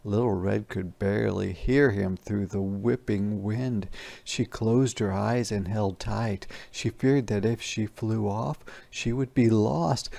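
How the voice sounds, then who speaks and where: A man teacher reading a children's storybook aloud, expressive and pausing to explain words to young listeners. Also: lowish voice, creaky.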